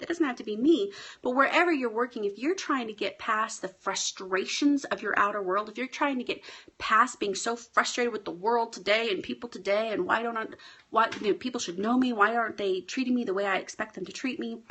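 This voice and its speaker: A woman life coach talking casually on a home live stream, a bit hoarse and often checking in with her viewers. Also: fairly high pitch, brisk, pushed and bright. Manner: chatty, often asks "right?". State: hoarse, clearing her throat. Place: at home with pets.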